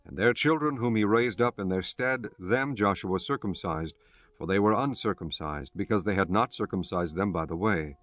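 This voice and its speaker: A man narrating scripture for an audiobook in a solemn, measured and formal reading style. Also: on the low side, a bit bright.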